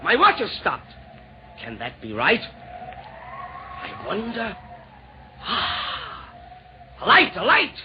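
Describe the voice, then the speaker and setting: A villainous Roman emperor in an old radio play, declaiming pompously in rhyme. His pitch swings dramatically up and down, and the recording is dull with a faint hiss. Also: wildly swooping pitch, extremely slow, long pauses, full character voice. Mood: excited declaiming. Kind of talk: old-time radio verse comedy acting.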